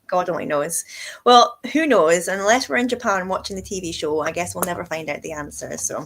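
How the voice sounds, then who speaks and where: A woman hosting a relaxed chat, telling lively stories and laughing often. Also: on the low side, a little fast. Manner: chatty storyteller, laughs often. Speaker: whisky shop host. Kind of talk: casual chat.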